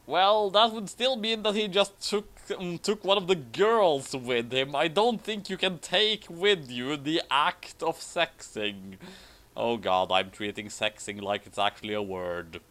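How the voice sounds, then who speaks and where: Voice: medium pitch, unhurried, fairly bright tone. A person reading a story aloud for comedy and chatting casually about it, often going back over flubbed lines. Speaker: fan fiction reader and commentator.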